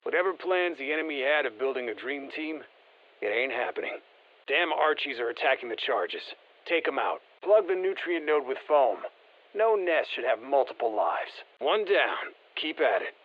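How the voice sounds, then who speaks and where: Wry operator over dull radio. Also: male voice, mid-range voice, very animated, normal speed. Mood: urgent. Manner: colloquial, wry, plain-spoken. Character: easygoing male special forces operator giving mission instructions. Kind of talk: video game mission radio callouts.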